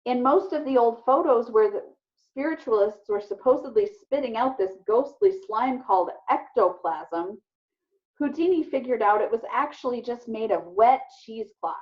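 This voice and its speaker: A woman's voice: a little high, expressive, moderate pace, pitched above the performer's own voice, lightly acted. A woman voicing a bookish, skeptical child who explains and scoffs, matter-of-fact, in a children's storybook read-aloud. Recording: muffled, lo-fi recording.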